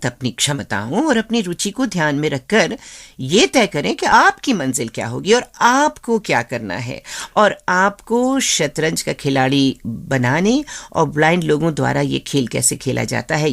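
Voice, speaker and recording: A woman's voice: low voice, creaky. A woman hosting a radio show in a scripted presenting style.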